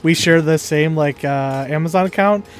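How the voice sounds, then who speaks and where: A man's voice: a little high, moderate pace, faint music behind. A man talking casually with friends while playing a video game. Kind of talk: casual gameplay chat.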